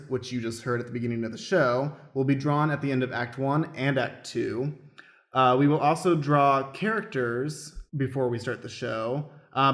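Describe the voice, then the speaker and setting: A man with a mid-pitched voice speaks in a roomy, echoing space. He hosts an improvised radio play as stage manager, explaining things in a casual way. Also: fairly bright tone. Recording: roomy, echoing room sound.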